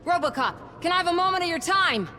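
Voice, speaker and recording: Casting: pushy newswoman in a game, high voice, direct, set in long reverb. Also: expressive, quick pace, pushed and bright, a little hiss or hum, heavily acted. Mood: insistent. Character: persistent, bold female news reporter.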